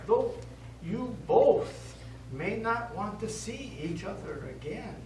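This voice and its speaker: Stage priest, male actor: gentle, pastoral, measured; animated intonation, heard from far off in an echoey room. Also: high voice, exaggerated voice. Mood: consoling. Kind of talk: stage play acting. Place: theatre studio stage. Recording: echoey stage, distant mic, noisy.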